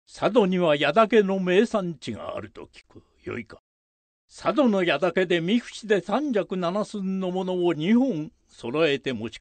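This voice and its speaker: A man playing a stern, resolute old samurai lord in a radio drama, with archaic, authoritative, deliberate speech and a gravelly, husky voice. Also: sits high, no echo, exaggerated voice. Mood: commanding. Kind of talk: period radio drama acting.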